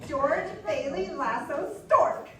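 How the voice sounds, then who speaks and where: A woman's voice: sits high, slow, bright, forceful tone, exaggerated voice. A woman playing an affectionate, teasing young wife, soothing and playful, in a live radio play. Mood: teasing, fond. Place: live stage in a dance hall. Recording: echoey noisy dance hall, distant mic.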